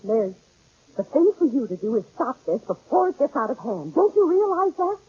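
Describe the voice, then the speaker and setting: Meddling sardonic wife, vintage radio sitcom. Very high, theatrical voice on a muffled old recording. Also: female voice, sing-song, normal speed, breathy edge, heavily acted. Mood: arch, goading. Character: meddling, sardonic married woman, loyal best friend. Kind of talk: 1940s-50s radio sitcom acting.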